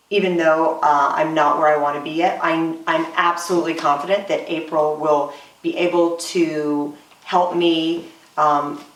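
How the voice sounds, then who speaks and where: A woman in her forties, a singer, talking earnestly in a casual interview with many pauses and "um"s, recorded roomy from off-mic. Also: sits low, far from the mic. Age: 40s. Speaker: singer and voice student.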